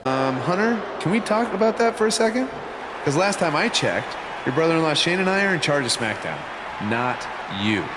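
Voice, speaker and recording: Assertive, animated wrestling boss. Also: male voice, on the high side, moderate pace. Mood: defiant. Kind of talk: video game story acting. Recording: loud background noise behind voice.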